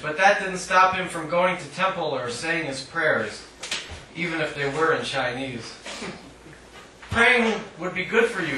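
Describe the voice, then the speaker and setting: A man giving a live poetry reading in a measured, declamatory way with dry humour, adding short spoken asides. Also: a little high. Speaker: poet reading own work. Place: indoor literary cafe reading. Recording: echoey live room, distant mic, faint noise.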